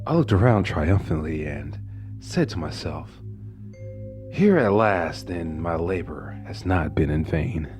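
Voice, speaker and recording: A man's voice: low-pitched, animated, unhurried, darkish tone, faint music behind. A man playing a tormented, brooding murderer in a theatrical Gothic horror monologue. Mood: coolly deliberate. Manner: theatrical, swings between breathy whispers and furious outbursts. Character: tormented, brooding murderer confessing with growing madness.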